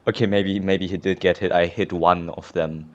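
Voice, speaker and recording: A man's voice: deep voice, fairly even intonation, moderate pace, lo-fi sound. Sarcastic young man commenting on a game. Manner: sarcastic, exasperated. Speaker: gameplay commentator. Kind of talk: casual gameplay commentary.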